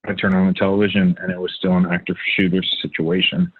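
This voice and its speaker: A man playing a quiet husband in a documentary play reading, soberly recalling his morning. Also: sits low, level tone, fast, slight background noise, natural voice. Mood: sober recollection. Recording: tinny phone-like video call audio.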